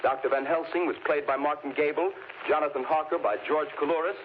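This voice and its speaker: A man announcing formally in 1930s radio style, reading out with crisp enunciation. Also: a little high, steady tone, fairly quick. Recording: tinny, noisy old radio broadcast.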